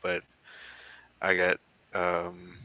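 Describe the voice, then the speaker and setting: An American man in his thirties chatting casually as he talks through old photos, halting and rambling as he goes. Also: low-pitched, completely flat delivery, pushed and bright, dry recording, low-quality recording. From southeastern United States. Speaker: guest, former Marine air traffic controller.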